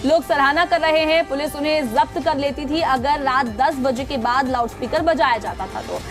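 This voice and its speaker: High-pitched woman news anchor over music. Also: normal speed. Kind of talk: scripted news narration.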